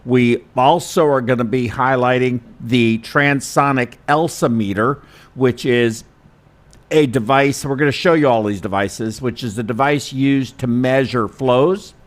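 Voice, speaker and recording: A man hosting an informal instructional webinar, talking in a chatty, self-deprecating, halting way. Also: mid-range voice. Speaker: host and perfusionist instructor. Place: studio.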